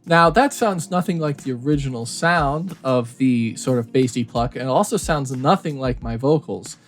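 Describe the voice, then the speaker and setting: A man narrates an audio software tutorial in a medium-pitched voice. He explains each step in a casual, instructional manner. Music plays behind his voice. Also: normal speed.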